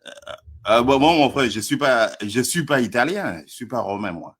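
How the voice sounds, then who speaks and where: This is a man from Congo who speaks with a Congolese French accent. His voice is husky, and his pitch jumps around a lot. He stammers and hesitates as he defends himself in a live online debate, often breaking into a chuckle. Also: animated, moderate pace, a bit bright.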